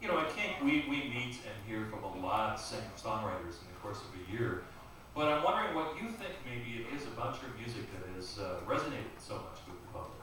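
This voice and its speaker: Male radio interviewer, live event, quick delivery, recorded at a distance with clear background noise. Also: fairly low pitch, sing-song. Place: music store live event, indoors. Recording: echoey room, distant mic, noisy ambience.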